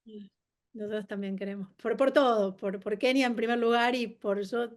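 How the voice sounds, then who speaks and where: A woman hosting a webinar interview, talking in a halting, conversational way with many restarts. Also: on the high side. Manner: warm-hearted, halting with many restarts and fillers. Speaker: webinar host.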